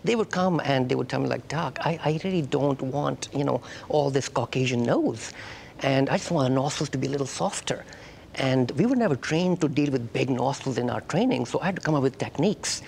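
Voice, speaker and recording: Pakistani-accented man, slightly gravelly voice. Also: medium pitch, expressive, fairly quick, darkish tone, slight background noise. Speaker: facial plastic surgeon, guest. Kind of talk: conversational TV interview. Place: TV studio talk-show set.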